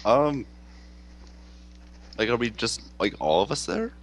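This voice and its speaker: A man's voice: medium pitch, big pitch swings, slow pace. A man playing a tabletop game, joking and bantering playfully. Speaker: player. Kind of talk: casual tabletop game play.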